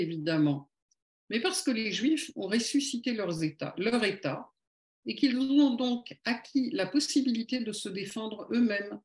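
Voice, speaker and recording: A woman's voice: medium pitch, a little mellow. A woman giving a polemical lecture at an evening meeting, with sardonic, ironic asides.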